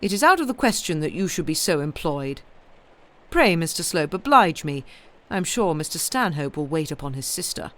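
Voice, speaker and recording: A woman's voice: deep voice, very animated, moderate pace, a bit bright. Woman playing an imperious society matron, commanding and formal. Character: imperious, indignant society matron, lady of the house.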